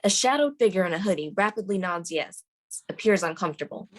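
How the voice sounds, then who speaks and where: A woman's voice: lowish voice, animated, a little fast. A woman reading screenplay stage directions as the narrator at a table read.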